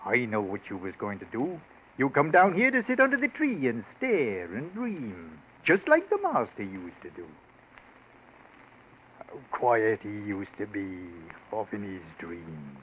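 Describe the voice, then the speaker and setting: Flustered old Yorkshire servant, tinny radio. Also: male voice, fairly low pitch, huge pitch swings, a little slow. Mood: fussing, deferential. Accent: Yorkshire English. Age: older. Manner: dialect speech, hesitant stammering. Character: old male rustic servant, grumbling, flustered, deferential. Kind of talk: 1940s radio acting. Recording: tinny, noisy 1940s radio recording.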